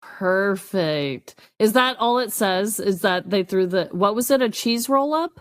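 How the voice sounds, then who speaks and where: A woman co-hosting a podcast, chatting casually in an animated, exclaiming way. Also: mid-range voice, a little slow, clear, resonant tone, dry recording, studio quality. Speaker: podcast co-host.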